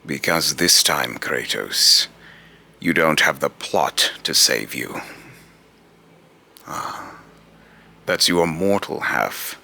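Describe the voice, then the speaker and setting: Man voicing a very deep, vengeful god. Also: lively intonation, vocal fry, bright, forceful tone, exaggerated voice. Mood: menacing, condescending. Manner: slow, solemn, deliberate, taunting rhetorical questioning. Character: vengeful male god, grave, accusing, condescending judge of mortals. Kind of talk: amateur voice-acting monologue with retakes.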